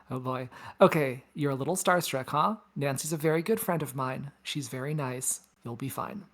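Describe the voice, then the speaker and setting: A woman plays a space probe who acts like an older sister. The voice sits low for a woman. The delivery is casual, teasing and sardonic, in the style of a science fiction table read. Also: moderate pace. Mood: breezy, friendly. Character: wry, breezy big-sister space probe, female.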